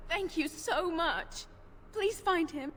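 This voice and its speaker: A woman's voice: very high voice, slow, fairly bright tone, slight background noise, heavily acted. A woman voicing a frightened young village girl who is crying, heard with long reverb. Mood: frightened, tearful.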